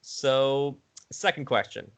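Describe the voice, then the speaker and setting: Mid-pitched man hosting a casual interview. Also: slow pace, clear, resonant tone. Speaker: interviewer, host. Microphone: online voice call.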